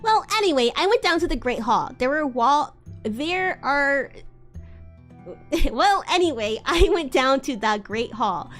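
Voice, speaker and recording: A woman streamer doing a live dramatic reading of fan fiction in an expressive, theatrical way. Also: high voice, measured pace, faint music behind. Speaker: livestream host.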